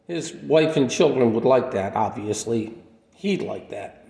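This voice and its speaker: A man's voice: medium pitch, lively intonation, measured pace, creaky, dark tone. A man playing a kindly, lonely wandering healer in a radio play, speaking in an earnest, folksy, reflective way. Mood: gentle, upbeat. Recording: echoey room with long reverb.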